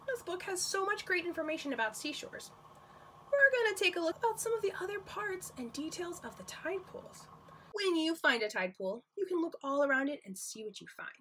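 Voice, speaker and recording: A woman teaching nursery school, reading a picture book aloud to young children in an expressive, questioning manner, with exclamations. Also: high-pitched, low-quality recording.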